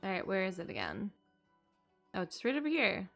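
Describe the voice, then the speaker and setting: A woman livestreamer casually reacting out loud as she plays a video game. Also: medium pitch, wildly swooping pitch, brisk, creaky. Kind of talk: casual live reactions while gaming. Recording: game music behind the voice.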